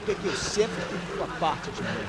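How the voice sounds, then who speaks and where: A man's voice: fairly high pitch, sing-song, brisk, very noisy. An actor playing an aggressive, swearing Brazilian gang henchman in a game. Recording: long reverb, loud music behind.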